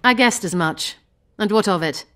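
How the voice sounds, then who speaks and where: An actress playing a defiant, unapologetic sorceress in a video game, haughty and indignant in manner. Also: female voice, medium pitch, normal speed, fairly bright tone, close to an everyday voice. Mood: indignant, dismissive.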